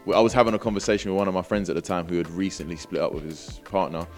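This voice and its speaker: A man's voice: low-pitched, steady tone, very fast, faint music behind. A British man chatting casually as an interview guest, with a British accent and a laid-back, slangy manner. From Britain. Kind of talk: casual chat.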